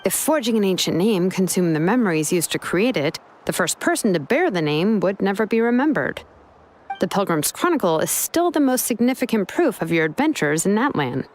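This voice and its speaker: Woman acting composed, mid-pitched craftswoman. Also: sing-song, fairly quick, slight background noise, natural voice. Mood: reassuring. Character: calm, expert craftswoman, dry and reassuring. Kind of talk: video game voice acting.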